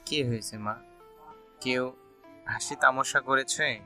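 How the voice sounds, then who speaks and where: Casting: man to play protective father in an audio story, middle-aged, sharp bright timbre, gentle reassurance. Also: mid-range voice, steady tone, slow pace, soft background music, heavily acted. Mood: concerned. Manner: tender, reassuring.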